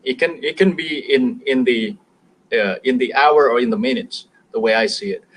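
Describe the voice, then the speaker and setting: A middle-aged man with an Indonesian accent speaks in a mid-pitched voice on a business talk show. He pauses often, fills gaps and restarts words, with an occasional chuckle. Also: fairly bright tone, poor audio. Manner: hesitant, many fillers and restarts, chuckles. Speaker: business show guest expert.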